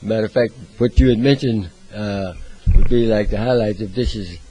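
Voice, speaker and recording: A low-voiced man hosts the interview with a dark, mellow tone. His voice is husky, and he phrases his questions hesitantly, with many fillers. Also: moderate pace, low-quality recording. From United States. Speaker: host.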